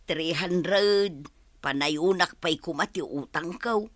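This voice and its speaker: A woman's voice: lowish voice, slow pace, no room sound. A woman playing a poor, humble mother who is behind on her debts. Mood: worried. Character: poor middle-aged mother in debt, humble.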